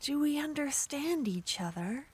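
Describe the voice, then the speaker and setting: A woman performing an ASMR roleplay as a jealous, possessive witch, speaking softly and often whispering. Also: high-pitched, expressive, unhurried. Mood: flirty.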